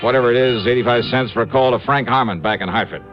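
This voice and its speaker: An actor playing a smitten, wisecracking insurance investigator in a 1950s radio drama, wry and apt to stammer when flustered. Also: male voice, medium pitch, quick pace, lightly acted. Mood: wry narrating. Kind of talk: 1950s radio detective drama, first-person narration and dialogue. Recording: muffled old radio recording, music behind.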